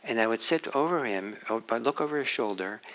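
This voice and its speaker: A man from Norway giving a dharma talk as a meditation teacher, speaking in a reflective, unhurried way with halting restarts. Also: medium pitch, expressive, a bit bright, poor audio.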